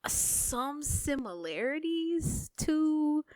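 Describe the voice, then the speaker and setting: A woman chatting on a podcast, explaining things in a slow, measured way with many fillers. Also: sits high, sing-song, a little mellow. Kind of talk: casual podcast chat.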